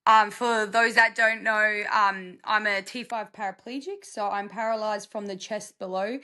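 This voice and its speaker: A woman's voice: mid-range voice, fairly even intonation, unhurried, pushed and bright. A young Australian woman from rural South Australia, chatting casually as a podcast guest in a candid, self-deprecating way. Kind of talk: casual personal interview chat.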